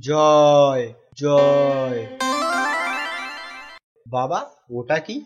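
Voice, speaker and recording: A man's voice: on the high side, fairly even intonation, extremely slow. A man voicing an eager, devoted villager in a cartoon. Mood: devout, excited. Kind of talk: cartoon voice acting. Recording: dull sound with music behind.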